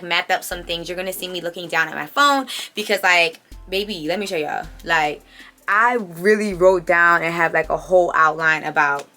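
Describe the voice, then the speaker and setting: A woman's voice: fairly low pitch, sharp tone. A woman in her late twenties chatting casually to camera like a vlogger, slightly congested, talking emphatically with slangy asides. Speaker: vlogger hosting own channel. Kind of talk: casual confessional chat to camera.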